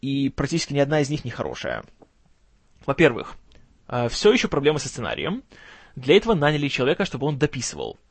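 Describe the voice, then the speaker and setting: A man hosting a film news show in casual commentary, his voice strained and kept low in volume, with a gloomy, wry, sarcastic manner and some stumbling over words. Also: wildly swooping pitch, fast talker, gravelly, dry recording. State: strained, tired voice, can't speak loud.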